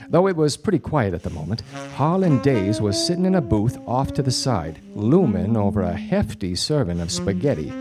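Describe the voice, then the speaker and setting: A man playing a wry, deadpan 1940s detective in a radio play, narrating his case and trading wisecracks. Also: medium pitch. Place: stage before a studio audience. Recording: live radio play with music behind.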